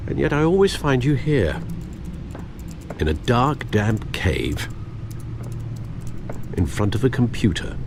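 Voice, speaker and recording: A man's voice: medium pitch, very animated, slow pace, long pauses, background noise. An actor playing a wry, formal older butler with fatherly concern in a video game. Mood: wry, teasing.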